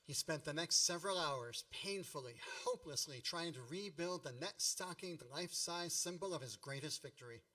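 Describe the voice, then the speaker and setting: Wry nostalgic narrator, mock-grand, forceful bright tone, slightly high, radio play. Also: male voice, moderate pace, few pauses, far from the mic. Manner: wry, melodramatic. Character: wry grown man narrating his boyhood memories with mock grandeur.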